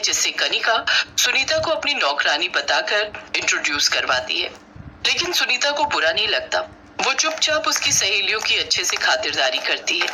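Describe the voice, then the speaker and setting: A woman's voice: lowish voice, background noise. A woman narrating a scripted moral family story as a storyteller.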